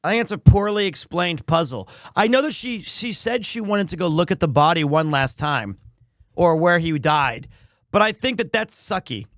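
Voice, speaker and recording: A man's voice: high-pitched, lively intonation, moderate pace, fairly bright tone, no room sound. A man giving casual commentary as he plays and reads out a video game on a livestream. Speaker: game streamer. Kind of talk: casual live playthrough commentary, reading game text aloud. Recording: music behind the voice. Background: game music.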